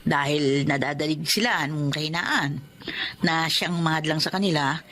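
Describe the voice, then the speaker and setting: Wise friend, calm and consoling,, low voice, faint noise. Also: female voice, measured pace. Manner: calm, counseling.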